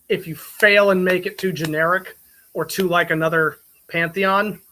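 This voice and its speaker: Higher-voiced man, bright and forceful. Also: poor audio. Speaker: host. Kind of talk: casual group chat.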